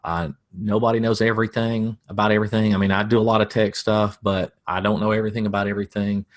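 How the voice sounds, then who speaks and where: A man's voice: lowish voice, little pitch movement, fast talker. A middle-aged man with a Southern American accent, teaching coaches about marketing in a casual, folksy way with self-deprecating jokes.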